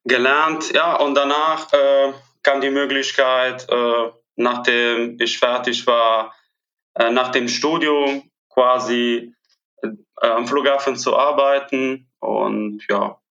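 A man's voice: mid-range voice, steady tone, slow, sharp tone, dull audio. A man, answering interview questions hesitantly with many filler sounds. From Italy. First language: Italian. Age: 20s. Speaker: guest, airport trainee. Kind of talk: podcast interview.

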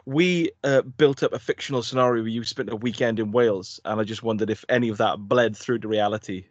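A man's voice: on the low side, a little fast, no echo, lo-fi sound. A man co-hosting a podcast, chatting casually in a jokey, rambling way with plenty of laughter. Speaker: podcast co-host. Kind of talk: casual chat.

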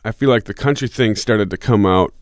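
Man, very deep smooth voice, rambling musician guest, dry close sound. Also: fairly quick, dry recording. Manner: rambling, many restarts and fillers. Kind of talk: relaxed interview answers.

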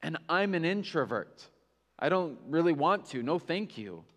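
A man's voice: fairly high pitch, very animated, measured pace, fairly bright tone, big-room sound. A male pastor preaching a sermon in a candid, conversational way, with self-mocking asides.

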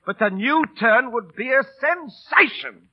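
An actor playing a cheerful, bashful Cockney street busker nearing forty in a 1930s radio drama. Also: male voice, extremely high pitch, expressive, measured pace, slightly rough, exaggerated voice. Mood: eager, assertive. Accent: London Cockney. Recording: muffled old 1930s radio recording.

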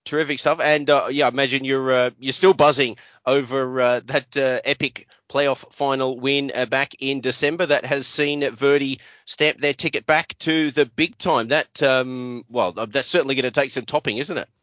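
A man's voice: mid-range voice, fairly even intonation, normal speed, hardly any pauses, a bit bright, dry recording, dull audio. Man hosting with lots of uh fillers. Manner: hesitant, many "uh" fillers. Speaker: podcast host. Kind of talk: casual podcast chat.

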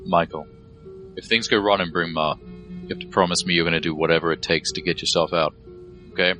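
Man as deep, commanding streetwise team leader. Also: fairly even intonation, fairly quick, sharp tone, faint music behind. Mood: grave. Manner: firm, terse, authoritative. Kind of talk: audio drama dialogue.

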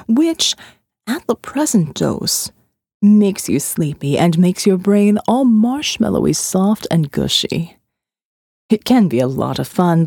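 Woman putting on a low gravelly male villain, smooth, elegant, calmly threatening, dry booth sound. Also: no room sound, exaggerated voice. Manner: calm, smooth and menacing, lecturing. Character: smooth, elegant male captor who runs an underground operation. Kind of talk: audiobook character voice.

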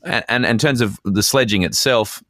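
An Australian man co-hosting a podcast, chatting casually about sport in a measured, discursive storytelling way. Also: medium pitch, a bit bright, no echo, clean studio sound. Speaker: podcast co-host.

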